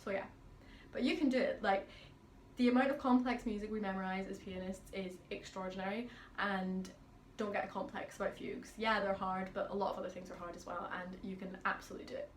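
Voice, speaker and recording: A young adult woman from Scotland with a Highland Scottish accent, chatting upbeat through a Q&A as a pianist vlogger. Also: steady tone, a little fast, roomy sound, a little hiss or hum. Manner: chatty and upbeat, reads out questions then answers. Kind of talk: casual Q&A chat.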